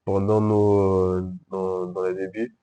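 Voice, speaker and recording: A young man from Ivory Coast answers interview questions in a calm, unhurried way. He hesitates often and circles back to finish his thoughts. His voice is on the low side. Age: young adult. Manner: hesitant, calm, frequent fillers and restarts. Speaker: guest entrepreneur.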